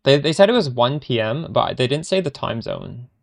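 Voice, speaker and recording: A man's voice: medium pitch, very animated, normal speed, vocal fry. A Canadian man with a Canadian accent, streaming a game live and chatting casually with viewers, often trailing off mid-sentence. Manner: chatty, answers chat questions while playing, trails off mid-sentence.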